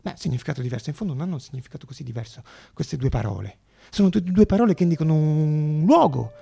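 A man playing an excitable, obsessive young student, muttering to himself and bursting into exclamations in a theatrical monologue. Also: on the high side, quick pace, vocal fry, husky, no room sound, studio quality, heavily acted. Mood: pondering, curious.

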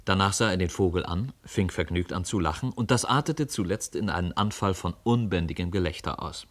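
A man narrating a literary radio play as an expressive, measured storyteller. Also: low-pitched.

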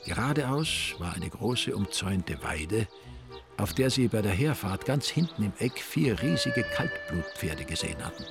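A man's voice: on the low side, very animated, unhurried, creaky, music in the background. A man narrating a radio drama in a dry, ironic, literary style. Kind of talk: scripted, wry literary narration.

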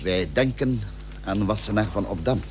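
Actor as a low-voiced, courteous statesman. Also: natural voice. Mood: persuasive. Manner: formal, measured, confiding. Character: courteous, persuasive male statesman of the Dutch Republic. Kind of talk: radio drama acting, stately archaic speech. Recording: humming, noisy old radio recording.

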